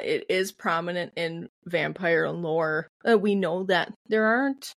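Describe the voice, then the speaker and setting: A woman's voice: on the low side, fairly bright tone, dry recording. Giggly Midwestern American woman, dry close sound. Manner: giggly, playful, acts out quotes. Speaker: podcast co-host. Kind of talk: casual comedic history storytelling.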